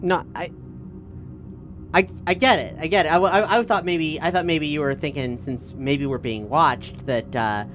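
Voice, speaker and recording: A woman's voice: low-pitched, moderate pace. A woman playing a tabletop game, chatting casually in character, stammering and repeating her phrases. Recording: faint music behind voice. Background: faint music.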